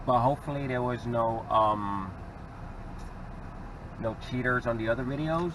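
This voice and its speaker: A man's voice: medium pitch, unhurried, background noise. A man with a Southern accent hosting a vlog, chatting casually and rambling with fillers while driving his car. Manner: laid-back, rambling with fillers. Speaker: vlog host. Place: inside a car.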